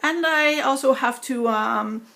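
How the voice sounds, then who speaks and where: A middle-aged woman whose speech disorder gives her a foreign-sounding accent, talking slowly and haltingly with many pauses and fillers. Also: high-pitched, vocal fry, a bit bright. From New York. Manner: slow halting speech, many fillers, dropped in-between words. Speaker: vlogger sharing her experience. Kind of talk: personal talk to camera.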